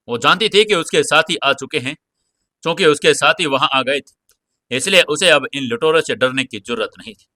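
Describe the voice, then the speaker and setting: Bright, forceful male storyteller. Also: mid-range voice, no echo. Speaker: storyteller, narrator. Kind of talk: dramatic scripted audio-series narration.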